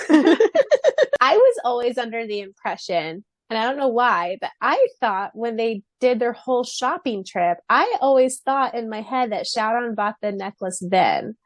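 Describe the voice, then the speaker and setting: A woman co-hosting a podcast in casual fan chat, expressive and giggly in a chatty way. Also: fairly high pitch, a little slow, no echo. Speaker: podcast co-host.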